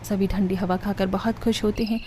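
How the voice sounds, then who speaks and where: A woman's voice: mid-range voice, steady tone, normal speed, dark tone, a little hiss or hum. A woman narrator reading a scripted moral story for a cartoon.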